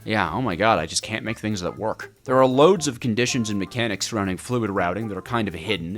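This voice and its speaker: Guy narrating his gameplay video, lowish voice, really animated and playfully dramatic, bit of music behind. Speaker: gaming video creator and narrator. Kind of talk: casual scripted gameplay narration.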